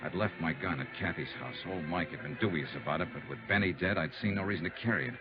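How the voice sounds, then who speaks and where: An actor playing a steady, hard-boiled investigator narrating his case in clipped 1950s radio drama style. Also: low-pitched, fast talker, loud music behind the voice, natural voice. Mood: tense narration. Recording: muffled 1950s radio recording.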